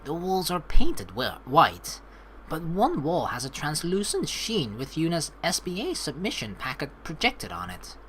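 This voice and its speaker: Low-voiced, animated woman gamer. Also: measured pace, a little hiss or hum. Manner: animated, informal, chatty asides. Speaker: let's play host. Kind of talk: gameplay commentary, reading game text aloud.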